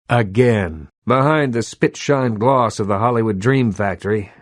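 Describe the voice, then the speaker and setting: An actor playing an imperious old vampire baron and Hollywood mogul in a video game, speaking in a measured, eloquent, condescending way. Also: male voice, lowish voice, dry recording, clean studio sound, lightly acted. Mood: measured, guarded. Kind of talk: video game character dialogue.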